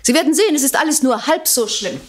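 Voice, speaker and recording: A composed society lady in a radio crime play. Her voice is high and lilts widely. She is genteel yet insistent, protective of the man she is speaking up for. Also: lively intonation, fast talker. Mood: composed, reassuring. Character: poised society lady protectively coaching her male friend. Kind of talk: German radio crime drama acting.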